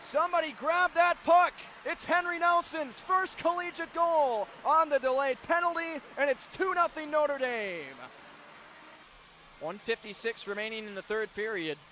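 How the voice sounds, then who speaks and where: A man calling hockey play-by-play on radio, firing off clipped calls of each pass and shot and erupting into shouted goal calls. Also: very high-pitched, unhurried, slight background noise. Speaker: play-by-play announcer.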